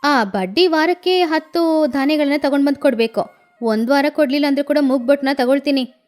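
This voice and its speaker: A woman's voice: sits high, a little fast, a little mellow, soft background music, lightly acted. A woman voicing a greedy, hard-hearted female crow moneylender in a children's cartoon story, with a dismissive, bossy way of talking. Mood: grudging, bossy.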